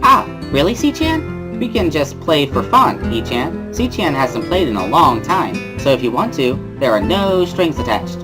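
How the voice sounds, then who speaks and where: Reader acting a teenage schoolgirl, high-pitched, bubbly, sing-song, teasing, very animated, music underneath. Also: a little slow. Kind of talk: visual novel dramatic reading.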